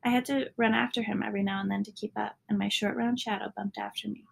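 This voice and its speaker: A woman reading a children's story aloud as a narrator, sometimes dropping into a hushed tone at the ends of lines. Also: mid-range voice, steady tone, fast talker, vocal fry, slight background noise. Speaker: storybook reader.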